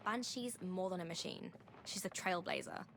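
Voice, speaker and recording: A cocky young female rebel from a video game, lowish in pitch, defiant and brash. A faint hiss sits behind her voice. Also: moderate pace, fairly bright tone. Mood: stubborn. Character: rebellious, cocky young woman, drone racer and tinkerer. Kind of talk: video game cutscene acting.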